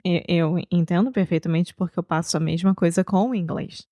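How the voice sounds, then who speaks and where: Carioca woman cohosting a podcast, low voice, fast lively chat, laughs a lot, dry close mic. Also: no echo. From Brazil. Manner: lively, laughing, quick bursts of talk. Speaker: podcast co-host. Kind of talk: casual conversational chat.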